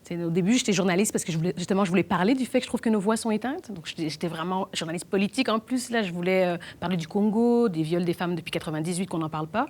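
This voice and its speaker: A young adult woman from Belgium, talking fast with many restarts in a documentary interview. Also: on the low side, a little mellow. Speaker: actress and playwright.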